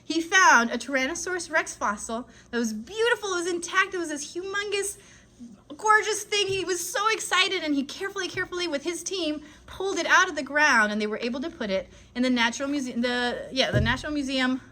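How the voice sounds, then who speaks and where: A woman hosting an interactive children's lesson on a live stream, telling it like an animated storyteller and often putting questions to her listeners. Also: high-pitched, fairly quick. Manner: animated storyteller, asks listeners questions, stretches key words. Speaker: children's show host and educator.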